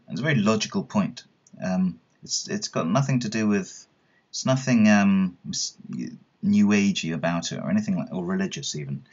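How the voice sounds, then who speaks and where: A man's voice: on the low side, husky, dull audio. A man, explaining philosophical views in a reflective, conversational interview manner. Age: 30s.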